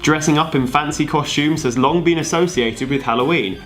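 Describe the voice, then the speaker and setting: A man's voice: a little high, normal speed, fairly bright tone, faint music behind. A man co-presenting a scripted explainer with banter, playing it dry as the straight man. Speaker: video co-presenter.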